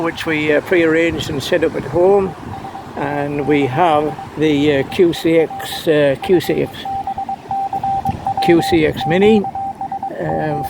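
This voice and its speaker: A man with a creaky, slightly high voice talks casually through a demonstration. He is understated and rambles a little, pausing mid-phrase. Clear background noise surrounds him outdoors. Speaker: amateur radio operator, vlogger. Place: outdoors, partly on a breezy beach. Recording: clearly noisy outdoor ambience.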